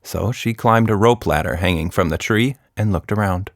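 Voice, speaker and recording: A man narrating a scripted children's story as a podcast storyteller, deliberate and expressive. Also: fairly low pitch, no room sound, studio quality.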